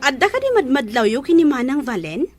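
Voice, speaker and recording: An actress with a Filipino accent playing a suspicious, tattling young daughter in a radio drama. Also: female voice, high voice, very animated, brisk, over music. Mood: suspicious, indignant.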